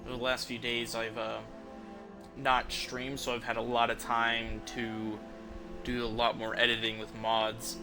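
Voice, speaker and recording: A man hosts a livestream in a medium-pitched voice. He talks off the cuff to his viewers, rambling informally as he explains things. Music plays clearly behind him. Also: completely flat delivery, measured pace. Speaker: livestream host, gamer. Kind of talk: casual unscripted chat to stream viewers.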